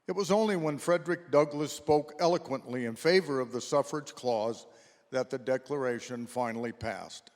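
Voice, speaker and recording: A man's voice: medium pitch, normal speed, a little mellow. A man narrating a scripted history for a readers theater performance. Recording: echoey hall with long reverb.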